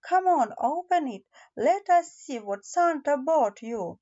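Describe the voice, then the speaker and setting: A kindly storybook animal dad, read by a woman, coaxes gently and encouragingly. The voice is high and mellow, taken slowly. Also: lively intonation, lo-fi sound. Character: kindly, encouraging father animal, adult male.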